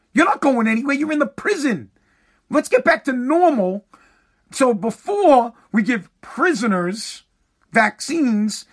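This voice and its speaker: A man's voice: very high voice, fairly bright tone, breathy edge. A man hosting his own podcast, ranting bluntly and heatedly with swearing while he is losing his voice. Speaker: podcast host.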